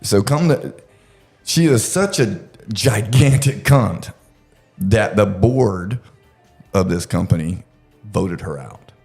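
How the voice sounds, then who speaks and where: Deep-voiced man swinging through casual storytelling. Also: animated, slow pace, darkish tone, soft background music. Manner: crude, joking, laughs through the telling. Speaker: podcast storyteller. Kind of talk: casual, profane true-crime storytelling.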